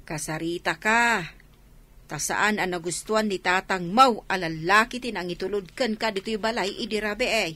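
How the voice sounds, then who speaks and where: Sharp-voiced, nagging mother. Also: medium pitch, normal speed. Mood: admonishing. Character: bossy, nagging mother laying down the rules. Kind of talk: Filipino radio drama acting.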